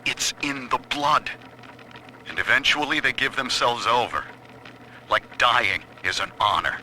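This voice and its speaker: A man's voice: medium pitch, unhurried, pushed and bright. A man playing a grim, determined father in a dramatic game audio log. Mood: grim, resolute. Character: grim, determined father hunting for his taken daughter. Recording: faintly noisy, radio-like audio log.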